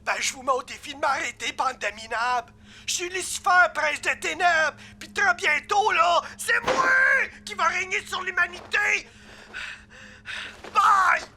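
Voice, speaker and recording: A touchy cartoon devil with a very high, piercing voice and a Quebec French accent. He is a boastful, bombastic prince of darkness who flusters easily and protests indignantly. There is faint noise behind him. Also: lively intonation, normal speed, gravelly, pushed and bright, full character voice. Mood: furious. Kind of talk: comic cartoon villain acting.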